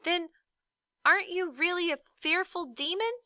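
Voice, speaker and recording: Woman doing a high-pitched, meek little boy. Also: measured pace, pushed and bright, dry recording, clean studio sound, exaggerated voice. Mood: anxious. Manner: meek, earnest, pleading. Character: small boy, polite, meek but quick-thinking. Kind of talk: children's storybook read-aloud.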